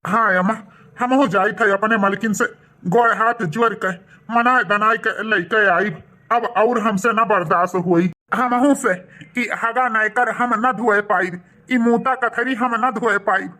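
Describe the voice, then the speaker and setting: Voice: medium pitch, unhurried, pushed and bright. A voice actor playing a remorseful village husband and son in a cartoon, earnest and humbly pleading, with an Awadhi accent. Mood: exasperated, contrite.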